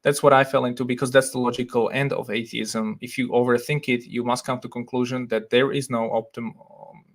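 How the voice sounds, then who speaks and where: A young Slovak man with a Slovak accent, hosting a casual livestream, reading comments and thinking aloud in a relaxed way with frequent laughs. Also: medium pitch, a little fast. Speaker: livestream host.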